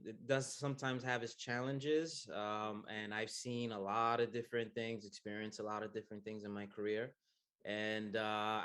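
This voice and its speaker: A man in his thirties from the United States, answering interview questions about his work as an acupuncturist in a soft-spoken way, with many pauses and fillers. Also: fairly low pitch. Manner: soft-spoken, many ums, laughs easily. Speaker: acupuncturist guest.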